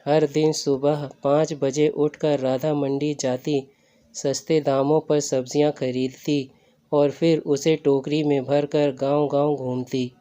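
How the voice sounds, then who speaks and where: A man's voice: fairly high pitch, little pitch movement, slow pace, dark tone. A man reading a scripted story as a narrator. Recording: dull lo-fi recording.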